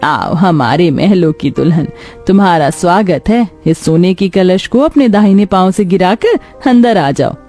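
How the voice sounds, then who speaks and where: A woman's voice: lowish voice, animated, measured pace, mellow, faint music behind. A woman voicing a gracious, kindly older rich mother-in-law in a cartoon story, stately and caring. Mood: welcoming. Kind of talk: Hindi cartoon story dialogue.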